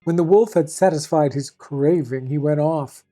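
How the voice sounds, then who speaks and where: Low, mellow woman reading a fairy tale. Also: normal speed, soft background music. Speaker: fairy tale reader and host. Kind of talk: scripted storybook narration with dry asides.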